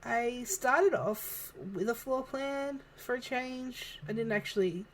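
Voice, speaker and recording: Soft-spoken woman, chatty and giggly. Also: a little high, takes it slowly, a little hiss or hum, poor audio. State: losing her voice. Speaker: video creator narrating a game build. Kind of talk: casual voice-over chat. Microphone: home desk microphone, moved closer partway.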